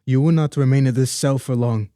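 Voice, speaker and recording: A man's voice: mid-range voice, steady tone, a little fast, mellow, smooth, dry recording, studio quality, close to an everyday voice. A young man playing a protective, grieving older father in a radio play, speaking in a formal, paternal manner. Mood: grave, consoling. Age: young adult. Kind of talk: student radio play acting.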